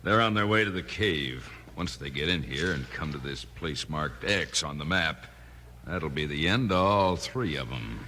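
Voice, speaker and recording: An actor playing a vengeful, scheming outlaw in a 1950s radio Western, with menacing frontier talk. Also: male voice, a bass voice, animated, normal speed, pushed and bright, full character voice. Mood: grimly vengeful. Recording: noisy old radio recording.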